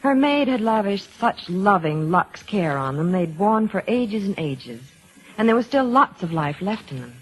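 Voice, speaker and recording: A woman's voice: mid-range voice, big pitch swings, measured pace, slight background noise, poor audio. An American woman presenting a scripted 1940s radio commercial in a chatty, gossipy way.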